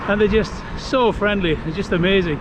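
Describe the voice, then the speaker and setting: Upbeat european guy on a bike talking to the cam, pretty high voice, lots of uh and um, cars and wind roaring behind. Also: normal speed. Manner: chatty and upbeat, thinking aloud with fillers. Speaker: touring cyclist vlogger. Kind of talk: casual chat to camera while cycling. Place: outdoors on a coastal road with traffic. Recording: loud road and wind noise. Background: passing cars and traffic.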